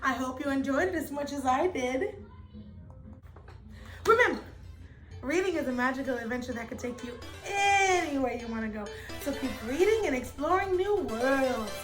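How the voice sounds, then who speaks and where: A woman reading a children's story aloud with dramatic, drawn-out emphasis. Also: high-pitched, expressive, fairly bright tone, soft background music. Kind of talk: animated storybook read-aloud.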